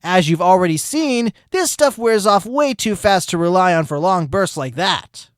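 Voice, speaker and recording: A man voicing a hyperactive, boastful sloth fitness instructor in a fan fiction reading, peppy and shouty. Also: high voice, animated, normal speed, no echo, studio quality, voice pushed up. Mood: boastful, energetic.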